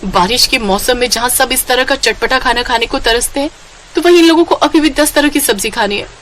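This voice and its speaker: A woman's voice: high voice, a little fast, a bit bright, a little hiss or hum, voice pushed up. A woman voicing a lazy, whiny young bride in a moral-story cartoon, complaining and exclaiming to herself. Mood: exasperated, whiny.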